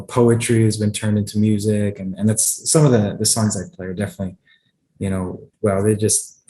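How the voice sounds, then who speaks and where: A man's voice: on the low side, warm tone, low-quality recording. A man from Connecticut talking casually in an interview, easygoing, with lots of "you know" and "like". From Connecticut, USA. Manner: easygoing, filler-heavy. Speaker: interviewee, musician.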